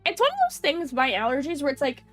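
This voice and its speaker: A young woman with a high, nasal voice, chatting with her stream viewers while she's stuffed up from allergies. She talks in a loose, giggly way, full of "like" and small restarts. Faint music plays behind her. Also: big pitch swings, fast talker, a bit bright. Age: young adult. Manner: chatty, lots of fillers and giggles. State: stuffy runny nose. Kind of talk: casual chat with viewers.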